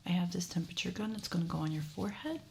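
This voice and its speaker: A woman whispering in a breathy, gentle and reassuring way, speaking as an examiner in an ASMR medical roleplay. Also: fairly low pitch, lively intonation, rapid-fire, background noise. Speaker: ASMR creator playing a gentle ear examiner.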